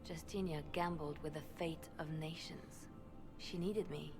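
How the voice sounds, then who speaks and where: A woman's voice: low voice, fairly even intonation, a little slow. An actress playing a ruthless but wounded woman spymaster in a fantasy video game. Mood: hurt, reflective. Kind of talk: dramatic fantasy game acting. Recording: loud game music under voice.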